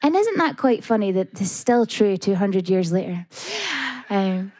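A Scottish woman, a folk singer, talking softly with many pauses and fillers about her background. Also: medium pitch, expressive. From Scotland. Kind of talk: interview and live stage talk.

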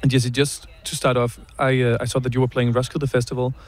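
A man's voice: mid-range voice, level tone, a little fast. Chatty man interviewing outdoors. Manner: friendly, chatty, with many fillers. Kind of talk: casual interview. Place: city park outdoors.

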